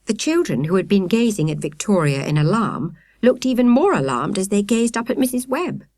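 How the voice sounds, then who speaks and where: A woman narrating a children's story in expressive, carefully phrased style. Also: medium pitch, fairly quick, dry recording, clean studio sound. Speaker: audiobook narrator.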